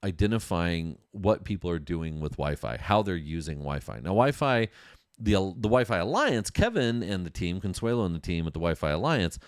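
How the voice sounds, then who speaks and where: Male podcast host, low-pitched, dry close sound, conversational and enthusiastic delivery with repetition for emphasis. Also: animated, normal speed, no echo. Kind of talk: casual industry news talk to an audience.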